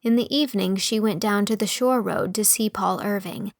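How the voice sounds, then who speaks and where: A woman's voice: mid-range voice, moderate pace, creaky, no room sound, clean studio sound. A woman reading a classic novel as scripted storybook narration. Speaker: audiobook narrator. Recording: music under the voice. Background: music.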